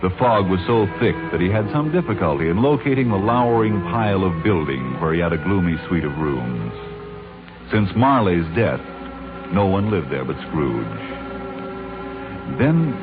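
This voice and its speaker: An actor as a dramatic, measured narrator telling a ghost story in 1940s radio style. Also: male voice, deep voice, sing-song. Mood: dramatic, suspenseful. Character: grave, dramatic male storyteller of a ghost tale. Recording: narrow-band 1940s radio recording. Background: music.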